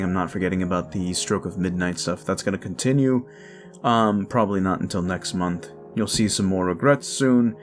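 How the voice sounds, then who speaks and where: A deep-voiced man speaks casually to his listeners at the end of an audio. He sounds upbeat and chatty, with faint music playing behind him. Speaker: audio roleplay creator. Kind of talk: casual outro chat.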